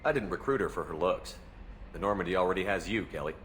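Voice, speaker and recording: A human starship commander in a video game. He is low-pitched and brisk, steady and authoritative in short plain statements. The sound carries a long reverb. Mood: easygoing. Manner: matter-of-fact, brief statements. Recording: long reverb, faint background noise.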